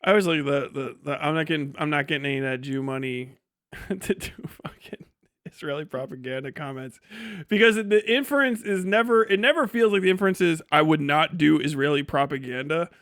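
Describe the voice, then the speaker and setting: A man with a fairly high voice hosts a livestream, ranting casually. He is blunt and sardonic and swears freely. The sound is close and dry. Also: expressive, a little fast, fairly bright tone, dry recording. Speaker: livestream host.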